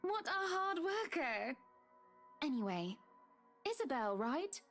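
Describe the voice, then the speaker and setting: A pushy, wealthy woman with a high, sweetly condescending voice, as a man puts her on. She drawls her words slowly and patronizingly, like she owns the room. Faint music plays behind the visual novel reading. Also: sing-song, fairly bright tone, heavily acted. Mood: sugary. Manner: syrupy, slow, patronizing.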